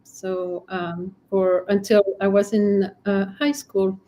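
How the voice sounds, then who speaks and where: A middle-aged woman physician who grew up in Congo, answering interview questions in a measured, gracious way. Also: mid-range voice, little pitch movement, mellow, slight background noise, low-quality recording. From Democratic Republic of Congo. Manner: measured and gracious, with many fillers. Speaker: physician guest.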